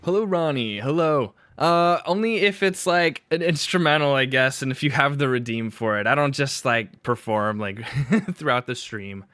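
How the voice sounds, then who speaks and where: A man's voice: mid-range voice, a bit bright, no echo. Dry-voiced man thinking aloud while mixing. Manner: thinks aloud, halting, with fillers. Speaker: music producer streaming live. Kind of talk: casual live-stream chat while mixing.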